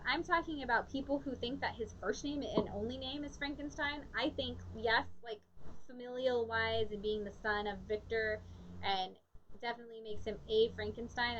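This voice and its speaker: Woman, bright-ish higher voice, playful storyteller, lo-fi stream audio with faint hiss. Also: steady tone, moderate pace. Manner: playful, laughs easily, tells stories. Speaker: co-host, teacher. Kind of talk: casual chat. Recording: dull lo-fi livestream audio, faint noise.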